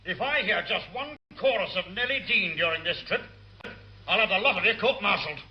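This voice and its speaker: An actor playing a pompous, tetchy visiting dignitary who sounds indignant, on a muffled old radio comedy recording. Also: male voice, high-pitched, lively intonation, fairly quick, soft background music. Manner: pompous, indignant, throat-clearing. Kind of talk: radio sitcom acting. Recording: muffled, very noisy radio comedy, audience laughter.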